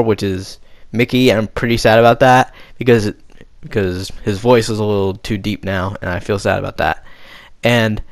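A man's voice: mid-range voice, no room sound. A man casually introducing his voice reel in a hesitant, rambling way as the host. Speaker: host and amateur voice actor.

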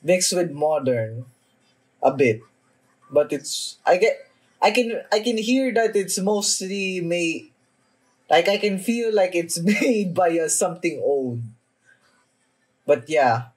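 A young man giving a casual, sweary reaction in a halting, informal way, sitting in a room at home. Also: high-pitched, lively intonation, a little mellow, slightly rough. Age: young adult. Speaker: reaction video host. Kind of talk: casual anime reaction chat. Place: small indoor room at home.

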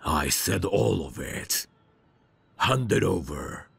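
A very slow, menacing mugger from an ASMR roleplay , acted out by a man. He taunts and threatens in a rock-bottom, gravelly male voice, leering as he goes. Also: deep voice, lively intonation, no echo, pitched above the performer's own voice, heavily acted. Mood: leering, taunting. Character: menacing male street mugger, lecherous thug.

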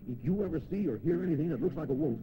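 A man's voice: a little high, lively intonation, racing, noisy background, lightly acted. A man playing a stern, admonishing farmer father in a children's audio story. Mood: warning.

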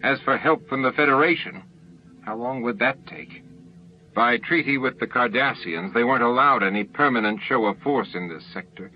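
A man's voice: mid-range voice, moderate pace, lo-fi sound. A man reading a novel as an audiobook narrator, in dramatic scripted narration. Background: faint music.

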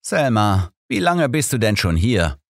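A man voicing a sardonic, sharp-minded elderly detective in an audiobook, pointed and dryly persuasive. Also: on the low side, very animated, a little slow, no room sound, clean studio sound, pitched above the performer's own voice, exaggerated voice. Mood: probing. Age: older.

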